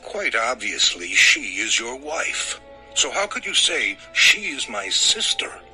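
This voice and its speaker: An imperious ancient king declaims with a very lively, swooping pitch. The male voice is emphatic and theatrical in the style of audio scripture drama, with music behind it. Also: slow pace, heavily acted, poor audio. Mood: indignant. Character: Abimelech, an imperious, indignant Philistine king, male.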